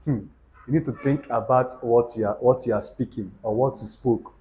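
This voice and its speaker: A man's voice: medium pitch, steady tone, normal speed, mellow, slight background noise. A young Nigerian man with an Igbo accent, talking to camera in a preachy, repetitive way and dropping Pidgin asides. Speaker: YouTube channel host. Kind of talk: motivational talk to camera.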